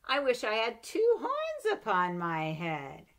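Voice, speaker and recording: A middle-aged woman reading a children's picture book aloud at home, expressive and animated, with playful asides between pages, recorded on a webcam. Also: on the high side, slow. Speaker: storybook reader. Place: home room with bookshelves. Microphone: webcam at desk.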